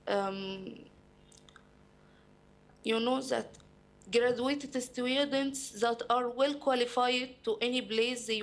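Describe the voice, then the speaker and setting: A young woman with an Egyptian accent and Arabic as her first language, speaking earnestly in halting English to an audience. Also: fairly high pitch, low-quality recording. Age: young adult. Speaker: panel speaker. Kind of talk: informal talk to an audience.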